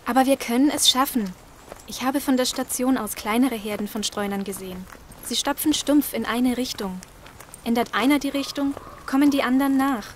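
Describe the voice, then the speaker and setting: A woman's voice: on the high side, moderate pace, a little hiss or hum, natural voice. A woman playing a helpful, observant survivor in a horror audio drama. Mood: earnest.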